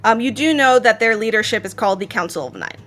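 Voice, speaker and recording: A woman's voice: a little high, expressive, fast, fairly bright tone, soft background music. A woman with an Israeli accent, narrating a tabletop game as game master in a casual, laughing way. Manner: laughs often, casual with fillers.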